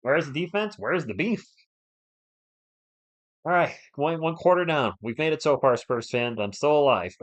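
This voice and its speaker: A man's voice: a little high, expressive, moderate pace, pauses often, muffled recording. A man who is a bit under the weather hosts a live sports stream, giving casual fan play-by-play and chatting with viewers by name in a conversational, playful way.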